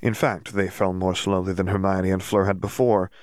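A man's voice: sits low, flat delivery, normal speed, smooth, no echo, studio quality. A man reading scripted fiction aloud as an audiobook narrator.

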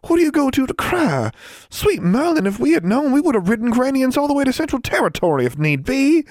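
A man's voice: medium pitch, animated, normal speed, darkish tone, dry recording, clean studio sound, voice pushed up, close to an everyday voice. A man voicing a caring, earnest teenage girl in an audiobook, pleading with strong emotion. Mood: distraught, pleading.

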